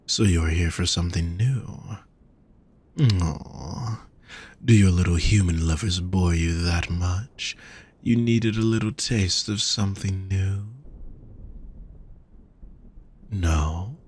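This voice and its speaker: A man playing a gentle, teasing male demon companion in a roleplay audio, speaking courteously with genteel endearments to the listener. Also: low-pitched, slow pace, slightly rough, heavily acted. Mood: teasing, curious.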